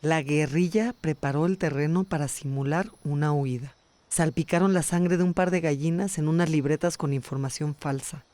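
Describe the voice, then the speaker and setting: A man's voice: a little high, steady tone, moderate pace, darkish tone, no room sound. A man reading scripted documentary narration. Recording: music behind. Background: music.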